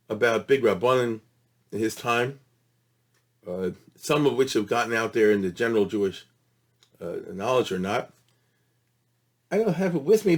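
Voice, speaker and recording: A man lectures as a rabbi and teacher in a conversational, unpolished way. His voice sits at a middling pitch, and he sprinkles Hebrew and Yiddish terms through his explanations while checking in with his listeners. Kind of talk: informal lecture on religious history.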